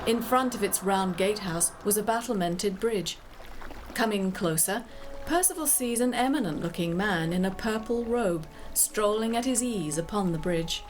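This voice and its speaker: A woman's voice: medium pitch, lively intonation, moderate pace. A woman narrating a scripted story in a dramatic storytelling style. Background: music.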